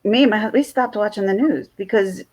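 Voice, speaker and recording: A woman from South Jersey with a Philadelphia accent, hosting a casual livestream chat and talking in halting, rambling restarts. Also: mid-range voice, darkish tone. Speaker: livestream host.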